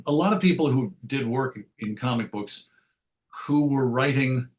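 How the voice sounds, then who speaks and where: Male interview guest, writer type: conversational, thoughtful and wordy, with hesitant phrasing and a medium-pitched voice. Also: animated, a little slow, a little hiss or hum. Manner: reflective, wordy, hesitant phrasing with self-corrections and wry asides. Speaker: interview guest, comics writer and radio host.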